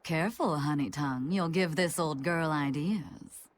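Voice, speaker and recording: Unhurried, low-voiced old scholar lady. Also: slight background noise. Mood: amused, knowing. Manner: theatrical, musing, drawn-out. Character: elderly scholarly woman teacher, teasing and absorbed. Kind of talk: video game cutscene acting.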